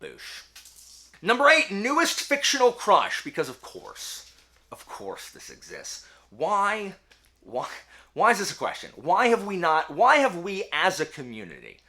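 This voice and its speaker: A man talking casually to camera as a book channel host, emphatic and animated, with a self-deprecating way of speaking. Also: high-pitched, measured pace. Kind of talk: casual talk to camera, answering book tag questions.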